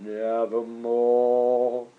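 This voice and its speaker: Man putting on a slow, ominous croaking raven. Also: medium pitch, flat delivery, narrow, tinny audio. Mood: grim, ominous.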